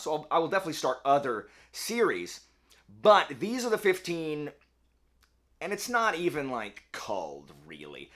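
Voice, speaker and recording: Lively, rambling male book vlogger. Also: medium pitch. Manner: chatty, rambling, self-correcting. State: slightly hoarse. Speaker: book channel host. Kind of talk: casual book vlog.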